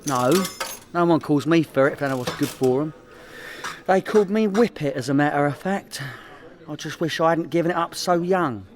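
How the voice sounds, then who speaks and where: A boastful London chancer of a small-time crook, played by an actor. His voice sits on the high side for a man. He talks colloquially and cockily, full of big claims, in the manner of radio comedy. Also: normal speed, close to an everyday voice. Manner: bragging, colloquial, cocky. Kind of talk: comedy radio play acting.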